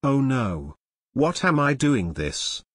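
A text-to-speech man voicing a young man in jail who pleads with his father in an animated fan video. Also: medium pitch, slow pace, husky, dry recording, studio quality, exaggerated voice. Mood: pleading. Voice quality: flat synthetic text-to-speech voice. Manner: whiny, pleading.

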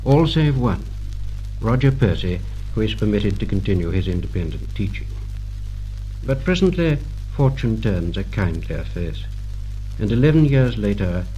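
A British man narrating a scripted 1950 commemorative record in a solemn, measured, literary manner. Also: fairly low pitch, very animated, mellow, lo-fi sound, soft background music.

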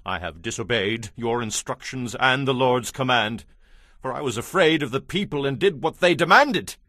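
Casting: weak-willed biblical king, defensive and pleading, very lively intonation, mid pitch, for an audio Bible drama. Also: moderate pace, no room sound, studio quality. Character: self-justifying, weak-willed king of ancient Israel.

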